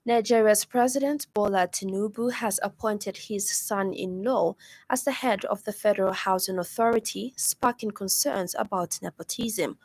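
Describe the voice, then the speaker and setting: A woman with a Zambian accent presents scripted news on radio in a formal, measured manner. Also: medium pitch, no room sound. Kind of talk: scripted news bulletin reading. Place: radio studio.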